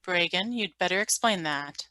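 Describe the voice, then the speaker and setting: Woman playing a crisp, forceful governor. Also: lowish voice, lively intonation, moderate pace, dry recording, poor audio. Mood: stern. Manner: formal, authoritative. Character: stern, questioning colony governor. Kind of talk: scripted radio play reading.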